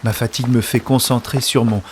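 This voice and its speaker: A man's voice: medium pitch, fairly even intonation, brisk, close to an everyday voice. Man acting urgent runner narrating, noisy backdrop. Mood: exhausted, determined. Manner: urgent, dramatic, short clipped phrases. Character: anxious man racing through a riot to reach a woman. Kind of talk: first-person audio fiction narration in present tense.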